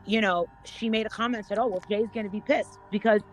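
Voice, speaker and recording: A woman chatting casually as a panel guest, rambling and haltingly repeating her words as she goes. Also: medium pitch, fairly even intonation, rough recording. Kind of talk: casual gossip chat.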